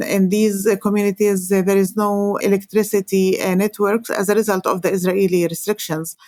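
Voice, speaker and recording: A woman from Palestine with a Palestinian Arabic accent, a native Arabic speaker, explaining her work as an interview guest in halting, hesitant phrases with frequent pauses. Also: mid-range voice, little pitch movement, dark tone, no echo, studio quality. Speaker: interview guest, environmental organization coordinator.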